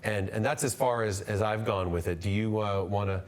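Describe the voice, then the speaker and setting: A man's voice: on the low side, fairly even intonation, moderate pace. A man in his fifties on a video call, an upbeat guest explaining ideas and repeating words as he goes. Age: 50s. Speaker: guest physician. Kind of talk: explaining to an interviewer.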